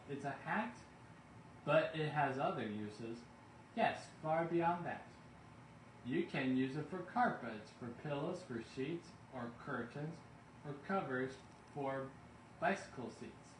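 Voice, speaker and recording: A man's voice: medium pitch, animated, unhurried, close to an everyday voice. Storybook entrepreneur, man reading: greedy, brash, boastful sales patter, echoing room with faint noise. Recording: roomy, distant mic, faint background noise.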